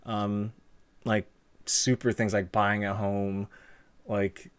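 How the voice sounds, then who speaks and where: A man's voice: on the low side, flat delivery, takes it slowly, smooth. A young man hosting a live stream, chatting casually with a guest and reading out viewer comments in a rambling, easygoing way. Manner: chatty, rambling, many fillers and restarts. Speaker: streamer host interviewing a guest.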